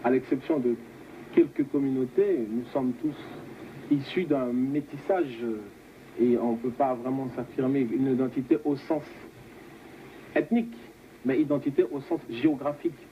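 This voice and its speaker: Guy, kinda halting n thoughtful, interview answer, muffled lofi sound w bit of noise. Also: medium pitch, steady tone. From French Guiana. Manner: halting, searching for words. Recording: lo-fi muffled band, faint background noise.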